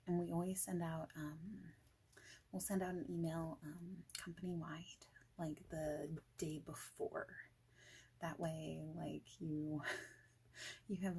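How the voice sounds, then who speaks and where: Soft, low, creaky-voiced woman, half whispering. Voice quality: soft, breathy, often dropping to a near whisper. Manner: casual, hesitant, rambling with many pauses and "like". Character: friendly informal female office boss. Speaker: ASMR roleplay creator playing a boss. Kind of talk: soft-spoken ASMR roleplay, informal job interview chat.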